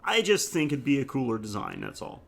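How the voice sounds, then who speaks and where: A man's voice: mid-range voice, sing-song, a little fast, creaky, a bit bright. A man co-hosting a podcast, chatting casually through a film review and laughing easily as he talks. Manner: laughs easily while talking. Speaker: podcast host.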